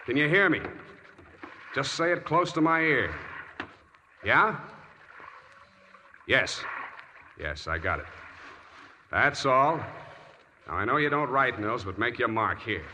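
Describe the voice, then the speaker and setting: A hard-boiled American detective in an old radio serial. His voice is bright and punchy, his delivery wry and sardonic. Faint noise sits on the 1940s recording. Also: mid-range voice, very animated, slow, long pauses, exaggerated voice. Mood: tense, commanding.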